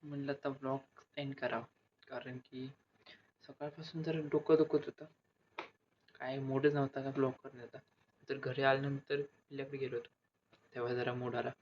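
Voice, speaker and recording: A man's voice: medium pitch, little pitch movement, normal speed, long pauses. Young male vlogger, casual chat, muffled lo-fi sound with a faint layer of noise. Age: young adult. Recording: dull lo-fi audio, faint noise.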